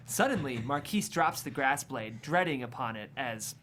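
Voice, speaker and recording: Very lively stage-direction narrator. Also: mid-range voice, moderate pace, slight background noise. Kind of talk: reading script narration at comedy table read.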